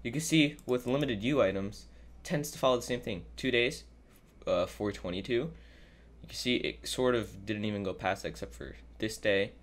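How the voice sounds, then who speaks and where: Man, lowish voice, mildly sick, off-the-cuff screen commentary, rambling, thinks out loud. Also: moderate pace. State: sick with a cold. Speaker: YouTuber giving a trading tutorial. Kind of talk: casual improvised screen-capture commentary. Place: indoors at a computer, voice-over.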